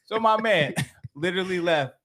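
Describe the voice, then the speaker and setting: A young man hosting a casual podcast, telling stories in a relaxed way with plenty of laughs and fillers. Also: high-pitched, moderate pace. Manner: laid-back, storytelling, frequent laughs and fillers. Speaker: podcast host.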